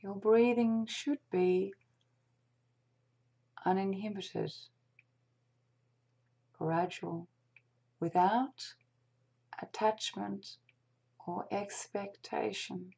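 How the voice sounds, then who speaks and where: Very slow, hushed, breathy woman guiding meditation. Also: mid-range voice, fairly even intonation. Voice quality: hushed, breathy, partly whispered. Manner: gentle, soothing, deliberate with long pauses. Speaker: meditation guide. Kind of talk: whispered guided meditation.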